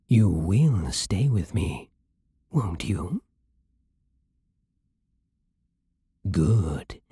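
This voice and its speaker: A man with a British accent playing a possessive, seductive vampire king in an intimate ASMR roleplay, speaking softly and breathily with hypnotic, imperious endearments. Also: fairly low pitch, no room sound, full character voice. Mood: hypnotic, coaxing. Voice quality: soft, breathy, husky.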